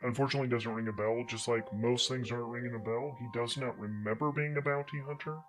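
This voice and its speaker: Halting male storyteller over prominent music. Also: mid-range voice, fairly even intonation. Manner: halting, pausing and searching for words. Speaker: solo podcast host, narrator and game master. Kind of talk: improvised storytelling narration of a tabletop game. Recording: music playing under the voice. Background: prominent instrumental music.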